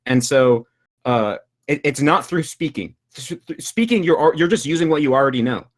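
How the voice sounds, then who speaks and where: A man's voice: medium pitch, animated, moderate pace. A young man who makes videos about learning languages talks over a video call, explaining at length with many fillers and restarts. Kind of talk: casual discussion.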